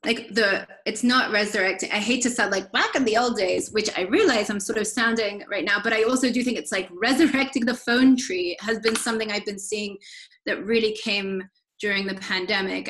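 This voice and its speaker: A woman's voice: medium pitch, a bit bright. A woman moderating a panel discussion in a chatty way, often interrupting her own sentences.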